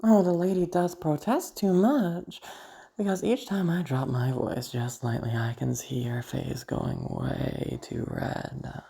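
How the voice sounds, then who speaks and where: Woman playing a low, creaky, seductive madwoman. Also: fairly even intonation, heavily acted. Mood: seductive, taunting. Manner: teasing, halting, drawn-out words with sudden hushed drops. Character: crazy, seductive, teasing female psychiatric patient with menacing streak. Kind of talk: audio roleplay monologue addressed to listener.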